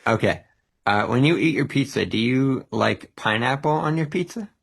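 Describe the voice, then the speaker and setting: A man's voice: mid-range voice, expressive, moderate pace, gravelly, husky. A man from the Philadelphia area, speaking as a guest in a casual interview, easygoing, laughing often and answering in short agreeing replies. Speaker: podcast guest, singer.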